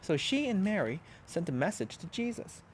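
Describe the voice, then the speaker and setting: Pastor, storytime reader, expressive and lively, slightly high voice. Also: male voice, normal speed, slight background noise. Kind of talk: children's storybook read-aloud.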